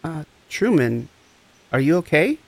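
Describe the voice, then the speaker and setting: A man's voice: a little high, very animated, measured pace, creaky, no room sound, heavily acted. A man acting the part of a compassionate recovery coach in an audio drama, tender, soothing and earnest. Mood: concerned, sympathetic. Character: compassionate, gentle, encouraging recovery coach and friend.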